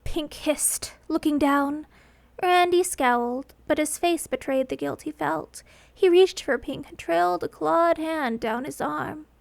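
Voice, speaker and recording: A woman narrates in a very high register. She reads scripted fan fiction as a storyteller, with a dramatic delivery. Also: measured pace, creaky.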